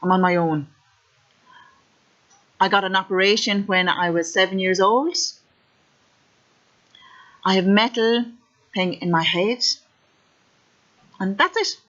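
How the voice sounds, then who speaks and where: An Irish woman speaks in a plain, measured way, telling her own story in the first person. Her voice sits at an ordinary pitch. The audio is dull and lo-fi. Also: fairly bright tone.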